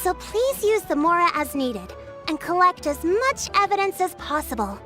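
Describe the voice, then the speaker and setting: A woman's voice: very high voice, sing-song, moderate pace, sharp tone, heavily acted. A woman playing a poised, friendly young chief in a video game, speaking politely and with assurance. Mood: assured. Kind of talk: animated video game dialogue. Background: music.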